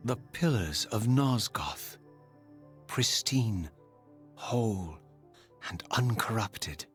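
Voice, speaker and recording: A man's voice: lowish voice, animated, drawn-out words, faint music behind. A man playing a tormented, vengeful wraith in a video game, speaking in formal, archaic, solemn phrases. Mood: brooding, solemn. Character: tormented, vengeful male wraith, eloquent and grimly resolute. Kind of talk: dramatic scripted video game narration and dialogue.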